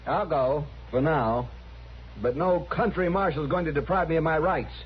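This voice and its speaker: A man's voice: a little high, normal speed, lightly acted. An actor playing a pushy, self-righteous man insisting on his rights in an old Western radio drama. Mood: outraged. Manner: pompous, insistent. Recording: muffled, faintly noisy old radio recording.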